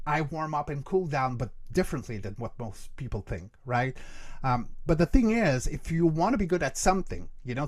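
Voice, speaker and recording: A middle-aged man speaking as an expert interview guest, explaining earnestly and emphatically and often checking that the listener follows. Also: mid-range voice. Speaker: interview guest, creature voice actor and vocal coach.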